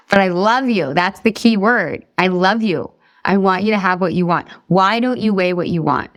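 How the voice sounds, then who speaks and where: Middle-aged woman coaching a group live, upbeat, keeps asking them stuff, lowish voice, dry close sound. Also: no echo. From Boston area. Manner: upbeat, talks straight to her group, asks questions. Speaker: weight loss coach.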